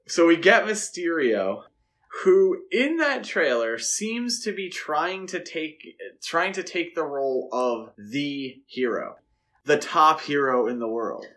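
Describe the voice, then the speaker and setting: A man's voice: a little high, sing-song, takes it slowly, a bit bright. A man co-hosting a podcast, chatting casually and speculatively about films. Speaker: podcast co-host. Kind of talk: casual film discussion podcast.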